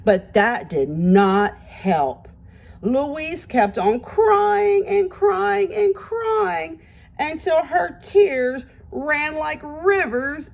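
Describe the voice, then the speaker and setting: A woman's voice: sits high, creaky, darkish tone. A woman teacher giving a read-aloud lesson to young children, speaking expressively in simple words and addressing them directly with questions and praise.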